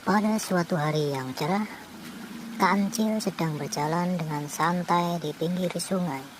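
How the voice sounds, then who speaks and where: A woman narrating a children's story in a gentle, clear storybook manner. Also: fairly low pitch, unhurried, background noise.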